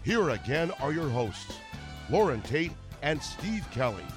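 A man's voice: fairly high pitch, sing-song, a little slow. A man reading a scripted show intro as a radio station announcer. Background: guitar music bed.

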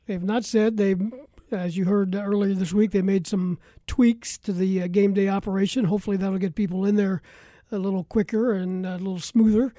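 A man's voice: high voice, steady tone, a little fast, warm tone, no room sound, clean studio sound. An American man hosting a live sports talk radio show.

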